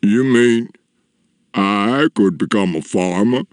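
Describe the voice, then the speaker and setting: Casting: a grimy, lonesome storybook giant, menacing yet sorrowful, ponderous and slow, recorded dry. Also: male voice, fairly low pitch, no room sound. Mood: puzzled, hopeful. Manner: slow, drawn-out, ponderous delivery. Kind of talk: storybook character voice.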